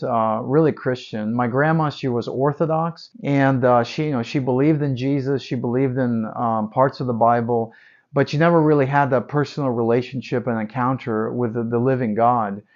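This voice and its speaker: A man's voice: medium pitch, highs cut off. Earnest Ukrainian-accented man giving testimony. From Ukraine, Odessa. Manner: earnest, emphatic, repeats words for stress, frequent uh fillers. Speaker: evangelist. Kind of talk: personal faith testimony to camera.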